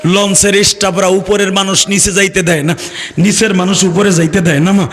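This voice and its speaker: Preacher acting doomed young son pleading, heavy reverb. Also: male voice, high-pitched, little pitch movement, brisk, close to an everyday voice. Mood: desperate pleading. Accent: Bangladeshi. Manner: pleading, mournful, repeatedly calling out.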